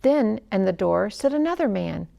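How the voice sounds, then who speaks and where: A woman narrates a story in a dramatic, expressive storytelling style. Her medium-pitched voice has noticeable creak, and she lets the ends of phrases drop to a hush. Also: moderate pace. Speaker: storyteller reading a missionary story to children.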